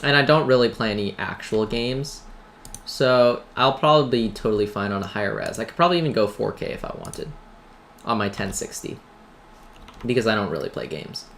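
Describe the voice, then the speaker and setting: A man's voice: on the low side, animated, fairly quick, gravelly, a bit bright. A man hosting a gaming video with casual, rambling commentary and tongue-in-cheek, self-deprecating asides. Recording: noisy home mic, keyboard clatter. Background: typing and background noise.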